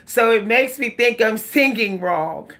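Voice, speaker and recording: A woman's voice: fairly high pitch, animated, slow, fairly bright tone. A woman hosting a casual livestream, chatting with viewers, laughing often and breaking into song. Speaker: livestream host. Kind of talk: casual livestream chat with singing.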